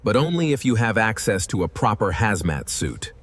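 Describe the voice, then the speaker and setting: A man narrating a scripted second-person story in a deadpan manner. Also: lowish voice, normal speed, music in the background.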